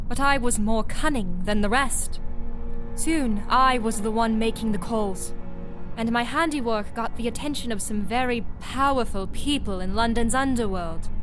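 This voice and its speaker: A woman plays a young villainess in an audio drama, bitter and cunning. Her fairly high voice is measured and resentful, with clear music behind it. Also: lightly acted. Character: Anastasia, cunning, vengeful young female crime boss, bitter orphan.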